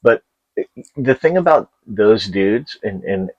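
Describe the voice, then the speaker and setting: A man's voice: lowish voice, lively intonation, slow pace, a little mellow, breathy edge, lo-fi sound. A man hosting a casual interview, encouraging his guest with frequent short affirmations. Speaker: podcast host.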